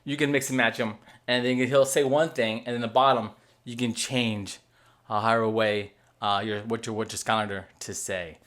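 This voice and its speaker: A man in his thirties recording at a desk in a room at home, explaining a new game to viewers in a casual, animated way with many fillers and restarts. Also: mid-range voice, normal speed. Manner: animated, lots of fillers and restarts, checks in with "okay?"; waves his hands while talking. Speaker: gaming video host.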